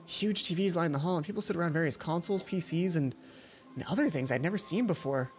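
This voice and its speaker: Female let's player, lower register, expressive story reader with off-script asides, loud game music underneath. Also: fast talker. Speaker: let's play host. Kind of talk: reading a visual novel aloud with asides. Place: indoor gaming commentary recording with loud game music behind.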